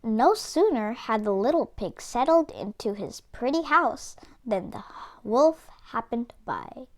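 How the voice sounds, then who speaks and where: A woman reading a children's story aloud with expressive, deliberate narration. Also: fairly high pitch. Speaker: read-aloud host, storyteller.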